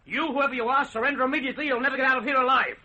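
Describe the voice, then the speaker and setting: Sharp, high-voiced guard. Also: male voice, steady tone, rapid-fire, lo-fi sound, heavily acted. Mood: commanding. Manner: urgent, authoritative. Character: brave, commanding male security guard. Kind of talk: old-time radio drama acting.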